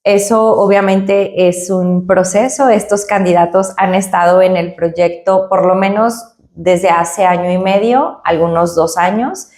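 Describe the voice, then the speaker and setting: A woman's voice: lowish voice, fairly even intonation, measured pace, hardly any pauses, darkish tone, roomy sound. A woman, a company director, answering interview questions and explaining her points with analogies and frequent tag questions. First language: Spanish.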